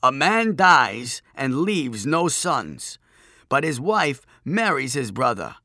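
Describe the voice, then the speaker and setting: A man voicing a pedantic chief priest in an audiobook, droning out riddles in a drawn-out, pompous recitation. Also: medium pitch, sing-song, bright, forceful tone, dry recording, clean studio sound, exaggerated voice. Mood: pompous testing.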